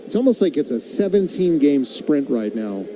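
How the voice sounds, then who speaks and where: A male American play-by-play announcer with a very soft, dark timbre and a slightly high pitch. He calls baseball live in radio style and chats casually between pitches, with some outdoor ambient noise behind him. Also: big pitch swings, normal speed.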